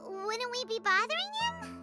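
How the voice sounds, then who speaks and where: A woman voicing a small, excitable, fretful childlike fairy companion in a video game. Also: very high voice, unhurried, full character voice. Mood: worried, hesitant. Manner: speaks of self in third person, blurting, fretful. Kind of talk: animated game voice acting. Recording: background music under the voice.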